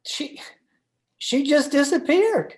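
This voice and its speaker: A woman's voice: sits high, lively intonation, takes it slowly, darkish tone. A woman acting a meek, henpecked, frightened husband in an old-time radio thriller, timid and stammering. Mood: timid, flustered.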